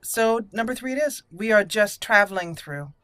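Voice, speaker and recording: Bright-voiced woman hosting a game playthrough. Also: medium pitch, expressive, moderate pace, dry recording. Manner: chatty, playful asides. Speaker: gaming video host. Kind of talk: roleplay game commentary, partly reading dialogue choices aloud.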